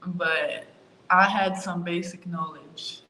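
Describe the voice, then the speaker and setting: A young woman from Ukraine answering interview questions about her move and her sport, talking in a relaxed way with lots of fillers. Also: on the low side, takes it slowly. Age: young adult. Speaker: college basketball player, interview guest. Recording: roomy with faint ambience.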